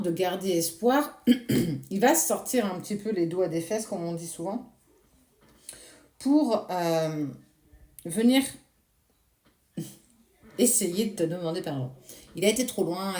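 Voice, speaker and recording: A woman, hoarse this morning, giving a casual tarot reading and speaking reassuringly straight to the viewer. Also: fairly low pitch, warm tone, roomy sound. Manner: addresses viewer directly with soothing reassurance. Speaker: tarot card reader.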